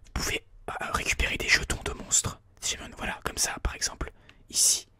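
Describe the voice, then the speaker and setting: A person whispering informal, explanatory ASMR gameplay commentary in a breathy, unvoiced voice, a little hesitant, close on a binaural mic. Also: brisk, sharp tone. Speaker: ASMR gaming video host. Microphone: close binaural microphone.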